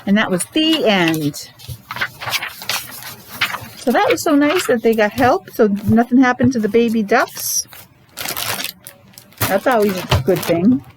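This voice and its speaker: A woman's voice: a little high, lively intonation, noisy background. A woman preschool teacher leading a counting lesson for young children, encouraging and asking lots of questions. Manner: encouraging, asks questions with pauses.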